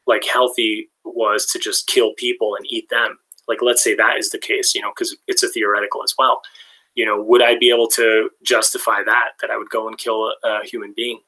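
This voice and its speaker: A man's voice: fairly low pitch, sharp tone, poor audio. A man hosting a casual livestream, answering chat questions in a rambling way with many fillers and restarts. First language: English and Hungarian. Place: lives in Hungary.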